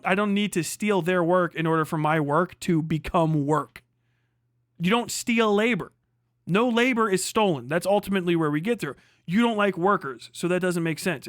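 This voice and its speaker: High-pitched man on a profane, combative rant. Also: fairly bright tone, dry recording, clean studio sound. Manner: emphatic repetition of phrases. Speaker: livestreamer reacting to a video. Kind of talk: heated off-the-cuff commentary.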